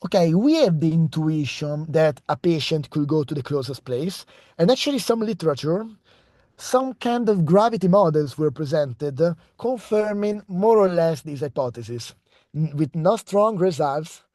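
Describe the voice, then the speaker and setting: A man's voice: fairly high pitch, animated, normal speed, warm tone, no room sound. A young man with an Italian accent giving an online research presentation over a video call, hesitating often with fillers and restarts. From Italy.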